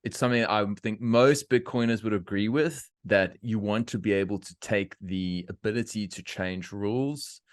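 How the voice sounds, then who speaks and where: A middle-aged man from South Africa hosting a podcast, talking in opinionated, animated rants with laughter. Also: lowish voice, moderate pace, hardly any pauses, no echo. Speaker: podcast host. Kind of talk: casual interview chat.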